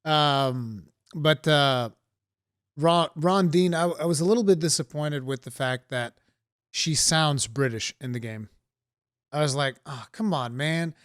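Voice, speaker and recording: A man from Portugal, co-hosting a podcast, telling stories in a casual, animated, emphatic way. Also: a little high, a little slow, dry recording, clean studio sound. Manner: animated storytelling, emphatic asides. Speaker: podcast co-host. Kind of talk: casual chat.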